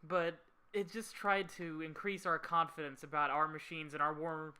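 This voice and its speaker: Male host, somewhat high voice, very bright forceful tone, casual film commentary, halting delivery. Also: little pitch movement.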